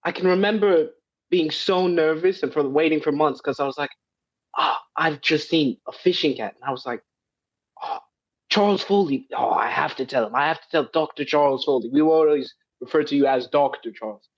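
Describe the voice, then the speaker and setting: A teenage boy tells an excited story on a video call. His voice sits fairly high, and the call audio is dull. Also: brisk. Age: teens. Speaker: podcast guest. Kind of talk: casual anecdote.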